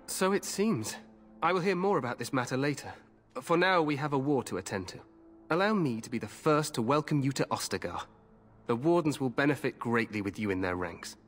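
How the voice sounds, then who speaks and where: A man's voice: medium pitch, expressive, fairly quick, a bit bright. Cocky young king in a fantasy game, boastful and breezy, big reverb with music under it. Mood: regal, curious. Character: young overconfident king eager for glorious war. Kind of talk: fantasy video game dialogue.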